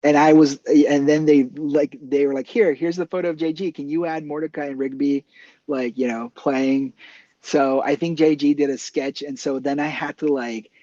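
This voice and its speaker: A man's voice: on the high side, fairly even intonation, moderate pace, dark tone, no echo. A man from Ecuador, a guest animation artist, chatting casually in an interview with lots of fillers and restarts, heard over a video call from a room at home. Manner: rambling, many fillers and restarts. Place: home room with books and toys. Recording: dry, dull lo-fi call audio.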